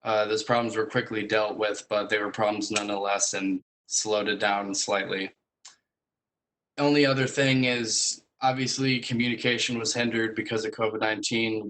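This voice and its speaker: A young man giving a semi-formal presentation about his student project, speaking in a hesitant, measured way. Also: lowish voice, fairly bright tone, poor audio. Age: young adult.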